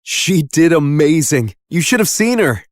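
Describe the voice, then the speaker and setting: Man as a lively, protective middle-aged dad. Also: on the high side, a little slow, no echo, clean studio sound. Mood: earnest. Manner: earnest, expressive. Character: kind, protective middle-aged father and neighbour. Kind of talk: animated story dialogue.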